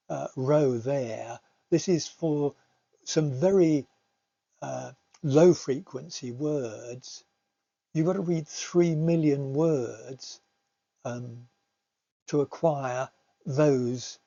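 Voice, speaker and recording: Slow-talking, halting male teacher. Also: fairly high pitch, creaky, mellow. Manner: hesitant, halting delivery with repeated words. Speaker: teacher presenting a talk. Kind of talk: explanatory slide presentation. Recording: slightly dull, lo-fi recording.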